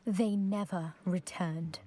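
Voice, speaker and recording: A woman's voice: on the low side, steady tone, slow pace, warm tone. A woman playing a proud, eager young lady from a scholarly family in a video game, with a posh British accent and precise, self-assured delivery. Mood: earnest. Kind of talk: video game character acting.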